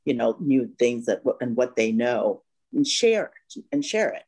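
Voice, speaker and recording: A woman's voice: very deep voice, big pitch swings, moderate pace, vocal fry, darkish tone, breathy edge. Hesitant woman from Vietnam, filler-heavy. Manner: hesitant, full of "you know" fillers. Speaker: guest. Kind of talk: casual interview chat. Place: home room by a bright window.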